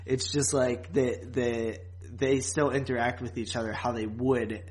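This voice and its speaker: A man's voice: medium pitch, level tone, a little slow. Man chatting casually on a podcast. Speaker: podcast co-host. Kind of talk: casual chat recapping a TV series.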